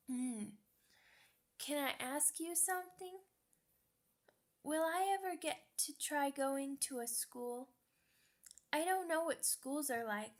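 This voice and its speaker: A woman plays a young werewolf pup in an ASMR roleplay. The voice is high and childlike, and the speech comes very slowly, halting and hesitant. The pup sounds sweet, timid and earnest. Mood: shy, happy.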